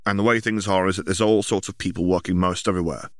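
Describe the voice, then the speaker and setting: A man's voice: low voice, fairly even intonation, fast, no echo, studio quality, lightly acted. A man voicing a blunt, grudging teenage boy in an audiobook story, talking casually. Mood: reluctant.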